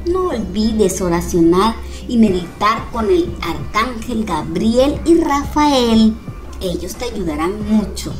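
A woman giving a reassuring tarot reading to her viewers, speaking gently and fondly to them. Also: medium pitch, lively intonation, slow. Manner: gentle, reassuring, calls viewers "mis cuervitos". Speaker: tarot reader. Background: background music.

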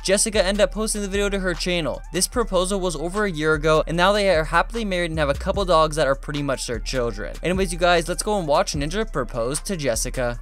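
A man narrating a countdown list video in an energetic, chatty way, often addressing viewers as "you guys". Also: a little high, fast, few pauses. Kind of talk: fast upbeat countdown narration.

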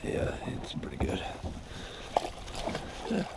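A man fishing from a kayak on a lake, talking to himself and the fish in a casual vlog, now and then bursting out with excitement. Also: takes it slowly. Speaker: angler. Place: kayak on an open lake, outdoors. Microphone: action camera mounted on the kayak. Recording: loud wind and water noise on a camera mic. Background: wind and water noise.

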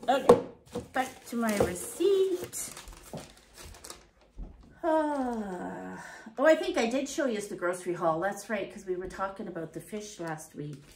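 A woman's voice: mid-range voice, lively intonation, a little slow, long pauses, noisy background. Chatty woman reading grocery prices. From Canada. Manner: chatty, reads prices aloud, thinks out loud. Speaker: vlogger. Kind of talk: casual grocery haul chat. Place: home kitchen. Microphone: fixed camera at table.

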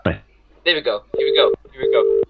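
A man's voice: medium pitch, moderate pace, narrow, tinny audio, heavy background noise. A young man hosting prank calls, chatting casually with friends on an online group call. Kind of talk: casual chat among friends. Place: indoors, on a video call.

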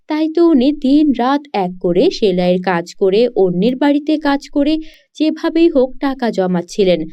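A woman storyteller reads a scripted cartoon tale. Her voice is high and soft-edged, on a slightly muffled recording. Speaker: storyteller, narrator.

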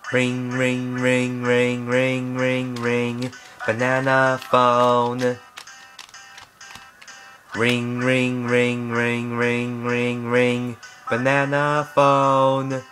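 A man's voice: mid-range voice, monotone, extremely slow. A young man in his late teens sings casually along to a comic parody song, chanting its repeated hooks, recorded on a laptop webcam in a small bedroom. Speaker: amateur singer. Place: small bedroom with bright yellow walls. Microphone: laptop webcam at close range. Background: loud backing music.

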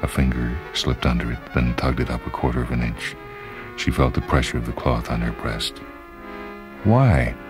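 A man's voice: rumbling low, monotone, fairly quick. A man reading a short story as dramatic literary narration. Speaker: story reader. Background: music.

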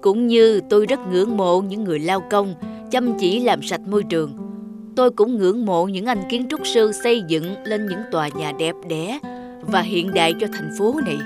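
Gentle slow cartoon doctor guy, lowish voice, lady voicing him. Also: music in the background, natural voice. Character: calm, kindly middle-aged male doctor.

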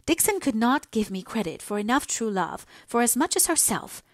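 A woman's voice: fairly high pitch, animated, normal speed, dry recording. Devoted, impulsive young daughter for storybook drama played by a female reader: heartfelt, imploring delivery, close dry sound. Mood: indignant, pleading. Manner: earnest, pleading, fervent. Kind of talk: dramatised audiobook dialogue.

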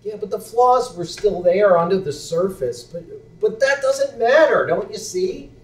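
A man's voice: high-pitched, expressive, a little slow. A young man on a small theater stage playing a skeptical, blunt best friend with a sentimental side, talking in a dry, sardonic way. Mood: heartfelt. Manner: dry, sardonic, then heartfelt storytelling. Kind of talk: live stage acting. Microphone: far room mic. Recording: roomy theater sound from far off.

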